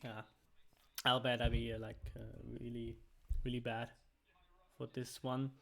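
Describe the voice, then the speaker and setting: A man's voice: mid-range voice, little pitch movement, measured pace, pushed and bright. German-native young man casting games casually. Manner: rambling, heavy use of "like" and restarts. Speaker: amateur esports caster. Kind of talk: casual solo esports commentary.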